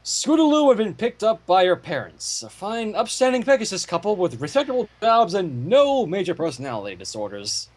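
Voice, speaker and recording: A man reading fan fiction aloud in a theatrical storytelling style, with casual asides and a husky voice. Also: high voice, big pitch swings, fairly quick, hardly any pauses.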